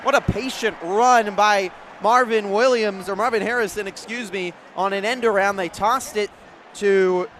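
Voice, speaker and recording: A man doing live radio play-by-play, moving between measured setup and urgent calls. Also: sits high, a bit bright. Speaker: play-by-play sports announcer. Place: outdoor stadium broadcast position. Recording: background noise of an outdoor stadium.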